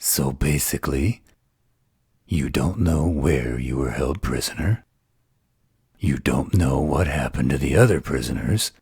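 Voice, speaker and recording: Male actor as sardonic vampire interrogator, extremely low pitch, very husky, slow, flirtatious, audio drama. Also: darkish tone, no room sound, exaggerated voice. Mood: sardonic, probing. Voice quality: very deep, gravelly, husky. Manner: slow, sarcastic, probing. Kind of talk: dramatic audio roleplay acting.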